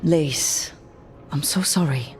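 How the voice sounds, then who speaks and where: A woman's voice: deep voice, takes it slowly, a little mellow, faint music behind. A woman voicing a compassionate, level-headed leader of a fantasy band in a video game cutscene. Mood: sympathetic.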